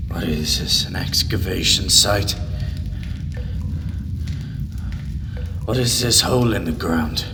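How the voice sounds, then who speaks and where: A man's voice: low-pitched, normal speed, big-room sound, noisy background. A man acting in an audio drama as a frightened, distraught captive father, anguished and pleading. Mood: bewildered. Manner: anguished, pleading, swinging to outbursts.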